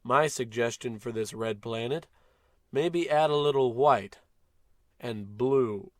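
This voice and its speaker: A man's voice: mid-range voice, takes it slowly, dry recording, clean studio sound, natural voice. A man playing a pompous, blustering space captain in an old-time radio comedy, declaiming with great self-importance. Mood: solemn, grandiose.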